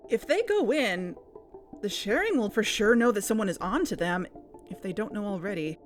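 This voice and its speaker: An actress playing a measured, practical investigative podcast host in an audio drama. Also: female voice, medium pitch, animated, faint music behind, natural voice.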